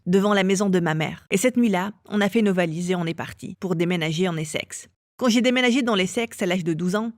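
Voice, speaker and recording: A woman telling her own story as an interviewee, in a calm and measured way. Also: mid-range voice, no echo, clean studio sound. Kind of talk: personal testimony.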